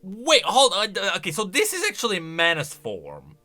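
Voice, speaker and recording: A man with a fairly high, bright voice gives casual reaction commentary. His pitch jumps around in an animated, exclamatory way as he thinks aloud. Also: moderate pace, soft background music. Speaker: video creator and commentator.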